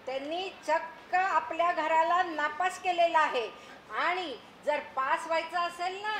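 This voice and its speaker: A woman's voice: very high voice, fairly even intonation, a little slow, fairly bright tone. A woman acting an exasperated, nagging wife and mother in a stage comedy, complaining dramatically and repeating herself for emphasis. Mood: urgent. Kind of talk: theatrical stage play acting. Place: theatre stage in an auditorium. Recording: echoey auditorium with faint noise.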